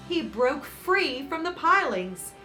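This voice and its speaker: Woman, higher-pitched voice, expressive children's read-aloud, dramatic emphasis, encouraging host, clear background music. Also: takes it slowly. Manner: dramatic emphasis, with warm encouraging asides to young listeners.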